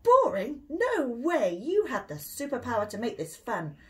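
A woman's voice: on the high side, huge pitch swings, unhurried, darkish tone, voice pushed up. A British woman voicing a reassuring, encouraging mother in a children's storybook. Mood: upbeat, insistent. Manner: soothing and encouraging. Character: gentle, reassuring mother of a young boy.

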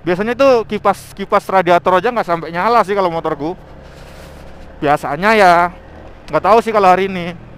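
A man chatting casually and excitably in slangy style as he vlogs while riding outdoors. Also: high-pitched, fairly quick, a little hiss or hum. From Indonesia. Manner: excitable, exclamatory, interjection-filled. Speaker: motovlogger. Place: outdoors, riding a motorbike on a forest mountain road.

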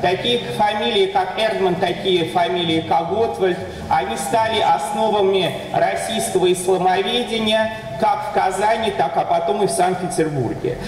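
A man's voice: high-pitched, normal speed, recorded from a distance, a little hiss or hum. A man moderating a conference session and introducing the next speaker in a formal, announcing manner. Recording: echoey hall from afar.

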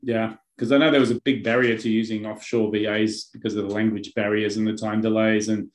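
A man's voice: on the low side, little pitch movement, brisk, rough recording. A man in his fifties hosting a business interview over a video call, asking questions with brief, encouraging responses. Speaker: host. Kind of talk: interview host. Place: home office with bookshelves. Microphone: webcam on video call.

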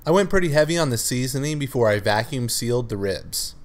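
A man hosting a cooking channel, narrating his recipe steps in a relaxed, matter-of-fact voice-over. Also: medium pitch, fairly quick. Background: loud music.

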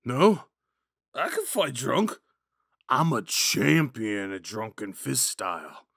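Man acting a slow-talking drunk himbo jock. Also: mid-range voice, very animated, vocal fry, fairly bright tone, husky, dry recording, clean studio sound, heavily acted. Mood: protective, goofy. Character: drunk, dim, sweet young male college jock. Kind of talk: ASMR audio roleplay.

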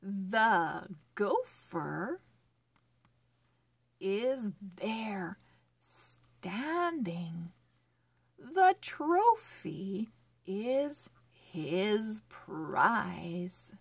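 A woman hosting a children's read-along phonics lesson, speaking in a sing-song, encouraging way with deliberate, exaggerated enunciation. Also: medium pitch, big pitch swings, gravelly, breathy edge, no echo.

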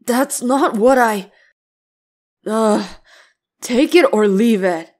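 A woman's voice: fairly high pitch, slow, a little mellow. A woman voicing a brooding, clingy, possessive young male demon slayer in a boyfriend ASMR roleplay, grumbling and hesitant between sighs. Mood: possessive, grudging.

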